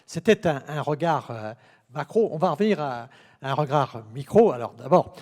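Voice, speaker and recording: A man moderating a conference panel, talking haltingly and repeating words as he introduces the next speaker. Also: on the high side, expressive, a little mellow. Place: large conference hall. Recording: reverberant conference hall.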